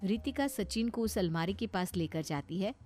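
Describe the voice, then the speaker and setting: A woman's voice: medium pitch, animated, normal speed, a little mellow, no echo, soft background music. A woman narrating a scripted story as a storyteller.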